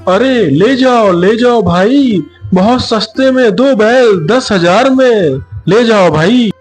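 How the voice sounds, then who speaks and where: A man's voice: high-pitched, expressive, slow, heavily acted. A man playing a sly villager in a cartoon moral story, pushing a sale with coaxing, evasive patter. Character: sly villager selling off his sick bullocks cheaply. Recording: music behind the voice.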